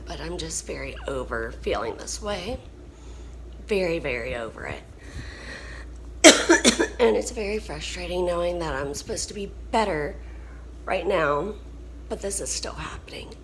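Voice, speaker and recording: A young woman talks slowly and wearily, her voice hoarse and broken by coughs. She sounds worn down and frustrated, and a faint hiss sits under the recording. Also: fairly low pitch, expressive, a bit bright. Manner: tired, frustrated, drawn-out speech. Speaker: vlogger. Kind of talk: casual vlog chat.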